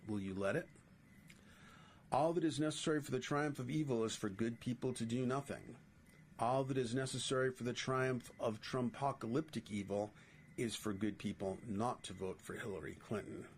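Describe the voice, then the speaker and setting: Man, middle-aged, New York accent, essayist reading aloud, mid-pitched voice, faint background noise. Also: moderate pace. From New York City. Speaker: writer and podcast host.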